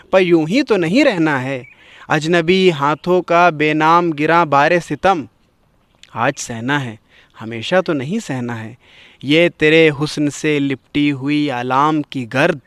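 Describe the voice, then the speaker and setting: A man with a fairly high voice presents and recites poetry. His phrasing is formal and literary, delivered with declamatory emphasis in a dry, close sound. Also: a bit bright, no room sound.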